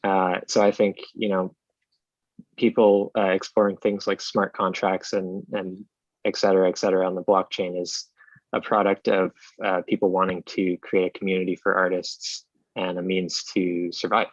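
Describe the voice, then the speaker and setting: A man from Canada, a project co-creator, giving a thoughtful interview answer with pauses and restarts. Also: deep voice, flat delivery, dry recording, lo-fi sound. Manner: thoughtful, with many ums and restarts.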